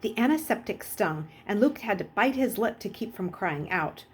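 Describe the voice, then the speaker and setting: Animated woman reading a story aloud. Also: mid-range voice, normal speed, a little hiss or hum. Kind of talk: expressive audiobook-style narration.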